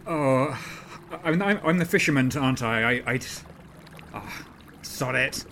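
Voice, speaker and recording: A man's voice: mid-range voice, animated, unhurried, a bit bright, a little hiss or hum. A man chatting casually as a tabletop game player, hesitant and repeating words.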